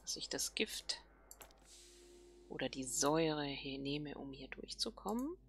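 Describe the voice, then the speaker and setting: A woman's voice: low voice, animated, a little slow, slight background noise. A woman hosting a let's play, thinking aloud casually and murmuring questions to herself as she plays.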